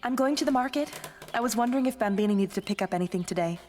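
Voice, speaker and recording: A woman's voice: on the high side, very fast, noisy background, lightly acted. An actress playing a caring, practical young woman in a radio play, speaking in a firm, reassuring way. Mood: polite. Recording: music under the voice. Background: music soundtrack.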